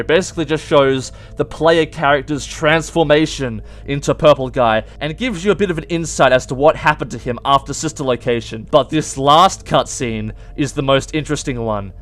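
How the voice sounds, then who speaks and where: A man's voice: a little high, a bit bright. A man hosting informal explanatory video game commentary, emphatic and stretching key words as he goes. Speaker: video game theory host.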